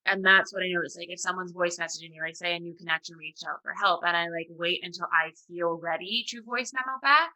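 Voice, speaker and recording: A woman guest chatting casually, with a calm, soothing voice and a rambling, self-deprecating, giggly manner. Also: on the low side, fast, few pauses, low-quality recording. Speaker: podcast guest. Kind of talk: casual chat.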